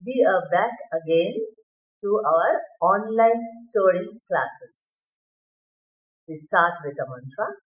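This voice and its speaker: A middle-aged woman with an Indian accent telling a story to children with deliberate, expressive enunciation. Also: on the low side. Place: indoor room with bookshelves. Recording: echoey room.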